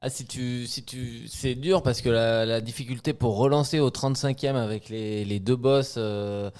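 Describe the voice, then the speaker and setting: Clear-voiced man in his thirties. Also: mid-range voice, fairly even intonation, normal speed, darkish tone. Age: 30s. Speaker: podcast guest commenting on running. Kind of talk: casual chat, analytical commentary.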